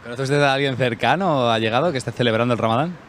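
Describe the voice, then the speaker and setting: A man's voice: mid-range voice, very fast, slight background noise. A man interviewing passers-by on a city street with a handheld mic, asking formal questions. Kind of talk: vox pop street questions.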